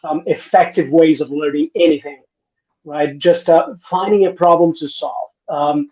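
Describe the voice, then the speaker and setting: A man's voice: fairly high pitch, steady tone, moderate pace, darkish tone. Middle-aged man, muffled call audio. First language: Portuguese. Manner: reflective, many restarts and "right?" tags. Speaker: panel guest, innovation consultant. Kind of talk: conversational panel discussion.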